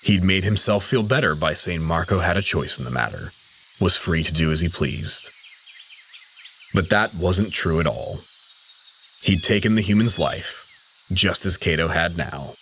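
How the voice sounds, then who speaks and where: A man reading scripted dramatic fiction as narrator, in a solemn and measured manner. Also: low voice, animated, bright, forceful tone, a little hiss or hum.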